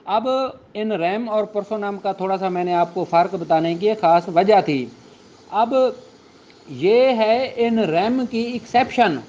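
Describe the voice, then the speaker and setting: A man with a Pakistani accent speaks in a high voice for a male teacher. He explains in an informal lecturing style and drops English terms into his sentences. The recording is somewhat dull and lo-fi. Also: a little mellow. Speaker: law teacher.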